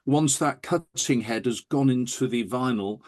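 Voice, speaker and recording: A man's voice: medium pitch, unhurried, darkish tone. A man talking enthusiastically and telling anecdotes as an interview guest. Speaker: interview guest, audiophile recording producer. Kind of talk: animated anecdotal interview talk.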